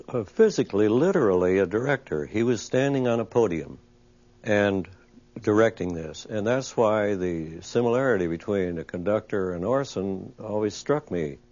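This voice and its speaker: A man's voice: lowish voice, dark tone. An older man reminiscing about his working days in an interview. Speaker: interviewee, former radio sound effects man. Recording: muffled, dull interview audio.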